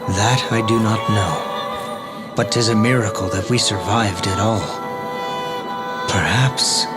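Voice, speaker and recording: A man playing a brooding, protective young dark knight in a fantasy video game, grave and archaic in his speech. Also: fairly low pitch, takes it slowly. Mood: grave, weary. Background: loud music.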